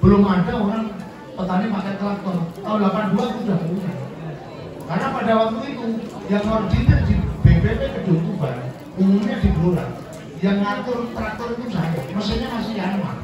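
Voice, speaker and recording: A man's voice: high voice, brisk. An older man with a Javanese accent explains things to a room of students, rambling and folksy, and counts off his points one by one. He is heard from far off in a very echoey hall, with a crowd murmuring around him, and the sound is dull. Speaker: Samin community elder answering students. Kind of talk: informal explanatory talk to students.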